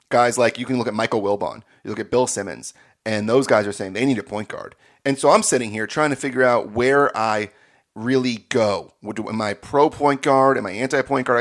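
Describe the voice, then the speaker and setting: A man hosting a casual sports podcast, energetic and quick to laugh. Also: mid-range voice. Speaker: podcast host.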